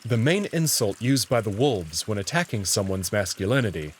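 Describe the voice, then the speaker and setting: A man reading scripted, expressive first-person narration as the narrator of a visual novel. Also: fairly low pitch, moderate pace, a little hiss or hum.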